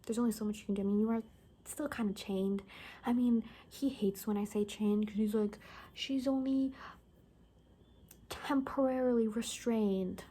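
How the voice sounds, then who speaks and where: A woman playing a chatty, gossipy friend in an apocalypse ASMR roleplay, soft-spoken and breathy, often slipping into whispers, with casual rambling speech. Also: a little high, fairly even intonation, normal speed. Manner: rambling, casual, gossipy, full of filler words.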